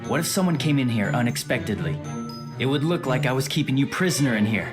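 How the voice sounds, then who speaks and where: An actor playing an aloof, calculating teenage student with haughty, clipped, articulate speech in an anime audio drama. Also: male voice, mid-range voice, lively intonation, fast, over music, lightly acted. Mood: exasperated.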